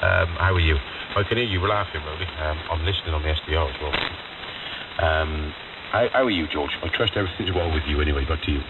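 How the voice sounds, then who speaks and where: A man's voice: rumbling low, fast talker, distant mic. A man chatting politely and hesitantly as an amateur operator over shortwave radio. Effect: shortwave radio transmission. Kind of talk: casual radio chat. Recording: narrow-band radio buried in loud static.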